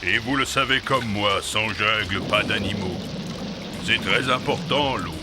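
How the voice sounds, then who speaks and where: A man's voice: lowish voice, a little slow, loud music behind the voice, lightly acted. A man playing a kindly old king of the trees in a French cartoon dub, speaking in a grandfatherly, ceremonious manner. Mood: kindly, solemn. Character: kindly old tree king, solemn and slow.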